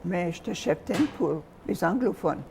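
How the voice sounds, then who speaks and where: Soft-spoken older woman, mellow voice. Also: fairly low pitch, expressive, slight background noise. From Calgary, Canada. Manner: soft-spoken, hesitant with frequent pauses. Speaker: interview guest. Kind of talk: reminiscing in an interview.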